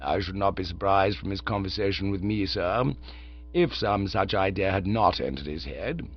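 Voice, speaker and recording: A man putting on a deep, solemn valet. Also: muffled recording. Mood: grave, deferential. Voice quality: low, measured and formal. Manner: deferential, slow, ends on a deep "sir". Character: solemn, unflappable middle-aged male valet.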